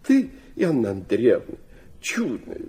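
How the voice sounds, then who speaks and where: An actor playing a sentimental, flighty old Russian prince in a radio play, rambling and hesitant, stammering and dropping in French phrases. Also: male voice, mid-range voice, wildly swooping pitch, unhurried, warm tone. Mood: sentimental, effusive. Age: older.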